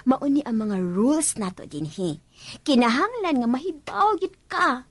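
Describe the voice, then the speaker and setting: Gossipy, admonishing head maid, Cebuano Filipino accent, high and very lively, dry studio sound, radio drama. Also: female voice, a little slow, no room sound, exaggerated voice. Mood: stern warning. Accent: Filipino, Cebuano-speaking. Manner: animated, chatty, admonishing. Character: bossy, gossipy senior housemaid showing a newcomer around.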